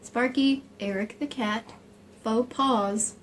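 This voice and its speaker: Higher-voiced woman chatting, some background noise. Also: slow pace. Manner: joking, bawdy, wry side remarks. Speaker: co-reader who comments on the story. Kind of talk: casual chat over a picture book. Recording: clearly noisy home recording.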